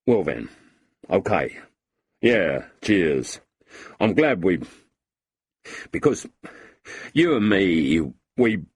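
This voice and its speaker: A man voicing a boozy, sentimental lowlife with a husky voice and a blustering, self-pitying way of talking. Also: sing-song, drawn-out words, pauses often, a little hiss or hum, full character voice. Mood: maudlin.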